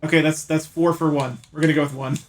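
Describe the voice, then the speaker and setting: A man's voice: fairly high pitch, steady tone, quick pace, a little hiss or hum. A man hosting a livestream playthrough, chatting casually and playfully with viewers. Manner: playful, talks to chat by name. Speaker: livestream host playing a visual novel. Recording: game music behind. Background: game music.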